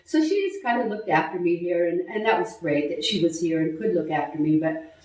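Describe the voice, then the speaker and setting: A woman's voice: on the low side, animated, a little fast, darkish tone. An older woman from Texas, a guest speaker and author, telling her life story in a casual interview. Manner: chatty storytelling with easy laughs. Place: live-stream interview set. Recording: echoey room, mic far off.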